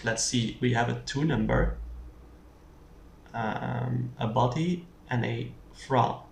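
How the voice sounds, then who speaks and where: A screencast tutor talks slowly and haltingly, working through code out loud. The sound is echoey, with clear background noise and the clicking of keys as they type. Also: medium pitch. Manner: halting, thinking aloud while typing. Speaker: programming tutorial presenter. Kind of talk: unscripted screencast coding tutorial. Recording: roomy, distant mic with clear background noise. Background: keyboard typing and mouse clicks.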